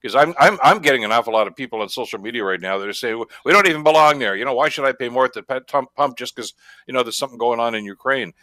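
A man's voice: mid-range voice, lively intonation, fast, pushed and bright, no room sound. A man with a Canadian accent hosting a radio talk show, interviewing in a conversational, wordy and rambling way.

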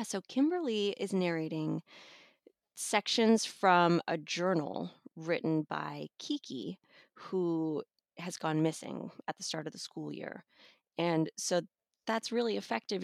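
A woman's voice: on the low side, gravelly, dry recording, studio quality. A woman reviewer talking casually and conversationally as she discusses a book as a guest. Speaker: audiobook reviewer and podcast guest.

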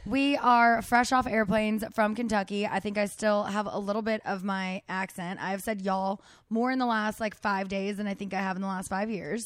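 A woman's voice: medium pitch, fairly even intonation, moderate pace, hardly any pauses, clean tone, dry recording. A woman hosting a casual podcast chat from a house, bubbly and full of "like". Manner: bubbly, peppered with "like". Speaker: podcast host. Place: living room of a house.

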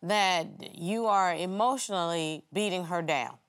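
A woman's voice: fairly low pitch, unhurried, clean tone. A woman presiding as a television court judge, speaking in a firm, direct, questioning manner. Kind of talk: courtroom arbitration.

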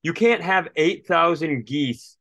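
A man's voice: on the high side, animated, slow, fairly bright tone, no echo. An American man from Minnesota telling stories casually as a comedy podcast co-host, ranting in comic exasperation and acting out quotes. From Minnesota, United States.

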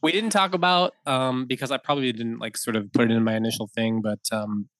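A man's voice: mid-range voice, fairly even intonation, fairly quick, fairly bright tone, no echo. A man in his thirties talks as a podcast guest about his wedding photography work. He rambles, restarts sentences and laughs often. His mid-range voice sounds dry and close, with no room around it. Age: 30s. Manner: rambling, lots of fillers and restarts, laughs easily. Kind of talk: casual podcast conversation.

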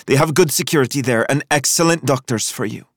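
A man voicing a composed, forceful Lebanese woman with formal, deliberate phrasing in an audiobook. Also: medium pitch, dry recording, studio quality, pitched above the performer's own voice, lightly acted. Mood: urgent, businesslike. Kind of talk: audiobook character voice.

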